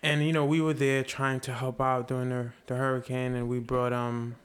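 A man's voice: mid-range voice, flat delivery, moderate pace. A young man from Haiti, a podcast guest, telling a story earnestly in casual conversation and swearing freely.

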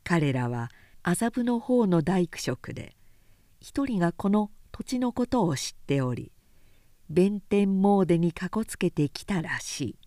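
A woman reading literary fiction aloud as a narrator, in a measured, composed and articulate way. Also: fairly low pitch, very animated, mellow, no echo, studio quality. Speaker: narrator, reader.

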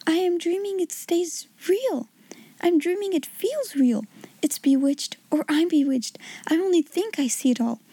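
A woman voicing a young girl, breathy and hushed, half-whispering in an audiobook reading. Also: extremely high pitch, lively intonation, measured pace, no echo, voice pushed up, heavily acted. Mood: wondering. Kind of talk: audiobook character voice.

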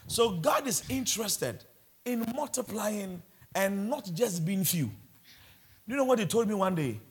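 Husky high-voiced Ghanaian preacher man. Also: animated, measured pace. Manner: preaching with humorous asides. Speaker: pastor. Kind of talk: sermon.

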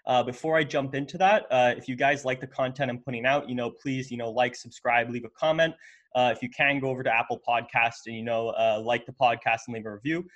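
A man's voice: mid-range voice, steady tone, fairly quick, a bit bright, muffled recording. A man hosting a business podcast, talking loosely with lots of "uh" and "you know" as he introduces a guest. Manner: loose, filler-heavy, with frequent "uh" and "you know". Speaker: podcast host. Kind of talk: casual podcast interview.

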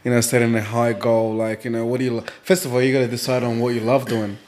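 A man's voice: mid-range voice, flat delivery, quick pace, a little mellow. A man who grew up in Africa, a podcast guest chatting casually and giving advice, filling his talk with "you know". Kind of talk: casual group chat.

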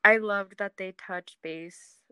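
A woman's voice: mid-range voice, steady tone, slow, pushed and bright, clear, resonant tone, dry recording, studio quality. A young woman hosting a podcast, steering a casual chat about television in an informal, slangy, agreeable way. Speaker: podcast host guiding the discussion. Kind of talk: casual TV discussion.

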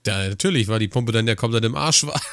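A man's voice: on the low side, fast talker, a bit bright, no echo. A man from northern Germany co-hosting a casual podcast about heating work, teasing his partner with dry humor. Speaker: podcast co-host, heating technician.

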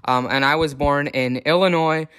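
A man's voice: fairly high pitch, fairly even intonation, normal speed, a bit bright, clean tone. A young man from the Chicago area, candidly talking through his own experiences in a casual, upbeat vlog with self-deprecating humor. His voice is changing and sometimes cracks. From Chicago area, USA. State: voice changing on testosterone, occasional cracks. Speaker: vlogger.

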